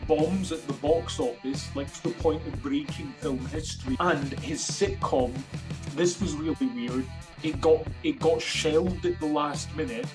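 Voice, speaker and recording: A man's voice: a little high, low-quality recording. A man in his twenties, from Sri Lanka, with a Scottish accent, rambling animatedly as the guest in a casual interview. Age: 20s. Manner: animated, rambling, many fillers and restarts. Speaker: guest actor and writer.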